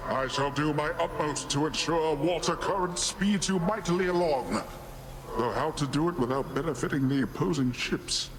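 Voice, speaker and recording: An actor playing a boisterous, pompous middle-aged sea god in a video game, with grandiose, theatrical delivery. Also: male voice, on the high side, moderate pace, natural voice. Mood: grand, boastful. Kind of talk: scripted video game character acting. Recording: voice under very loud noise.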